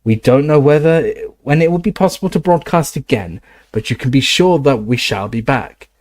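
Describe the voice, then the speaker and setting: Brief: young underground radio host, upbeat and warm-toned, voiced by a male reader. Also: medium pitch, animated, moderate pace, voice pushed up, close to an everyday voice. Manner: upbeat broadcaster's sign-off.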